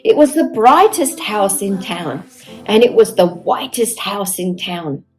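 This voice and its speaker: An older woman reading a picture book aloud to young children in an expressive storytelling manner. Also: mid-range voice, a little slow, soft background music, poor audio. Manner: expressive, with exaggerated dialogue.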